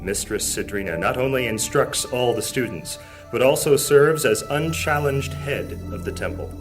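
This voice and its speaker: A man narrating a high fantasy audio drama as a solemn, measured storyteller. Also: lively intonation, over music, close to an everyday voice.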